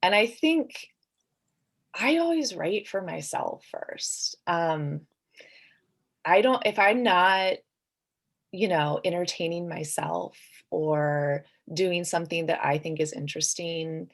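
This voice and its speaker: A woman's voice: on the low side, animated, slow pace, gravelly. A woman author talking casually and reflectively on a panel, hedging and saying "like" often as she thinks aloud.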